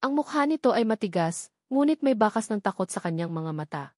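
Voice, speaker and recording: A woman's voice: mid-range voice, lively intonation, a little fast, darkish tone, no echo, clean studio sound. A woman with a Filipino accent reading scripted dramatic narration of a romance story.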